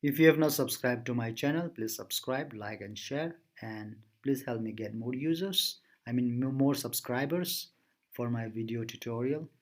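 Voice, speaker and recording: A man presenting an informal software tutorial, thinking aloud step by step as he works. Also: mid-range voice, moderate pace.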